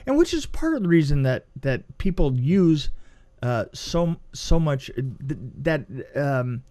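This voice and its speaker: Male podcast co-host, voice on the higher side, casual and animated raconteur, repeats himself for emphasis. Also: a little slow. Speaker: podcast co-host and film production designer. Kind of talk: casual movie review chat and anecdotes.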